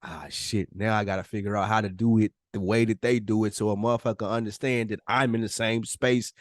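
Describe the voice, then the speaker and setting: A man's voice: lowish voice, fairly even intonation, fairly quick, dry recording, studio quality. A man hosting a podcast, speaking candidly and informally, with slang and swearing, in casual conversation. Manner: informal slangy talk with profanity. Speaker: podcast host.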